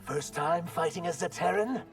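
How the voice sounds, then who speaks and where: A man voicing a guarded, earnest reptilian shapeshifter in human form, speaking in a measured, dry way for a video game. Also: on the high side, music in the background, close to an everyday voice. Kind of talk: video game fight dialogue.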